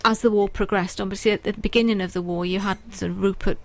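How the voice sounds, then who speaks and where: A woman's voice: medium pitch, fairly even intonation, quick pace. A woman guest on a radio interview, talking earnestly and thoughtfully about poetry with frequent ums. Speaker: guest poet and writer.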